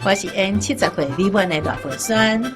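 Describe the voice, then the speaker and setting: A woman with a Taiwanese accent reads a scripted announcement for radio. Her voice sits at a medium pitch. Harmonica and string music plays clearly underneath. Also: brisk. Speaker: radio drama voice actor.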